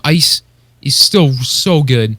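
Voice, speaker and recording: A man's voice: mid-range voice, lively intonation, slow pace, pushed and bright, no echo. A man co-hosting a podcast in casual chat, hesitantly searching for words as he explains his opinions. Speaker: podcast co-host.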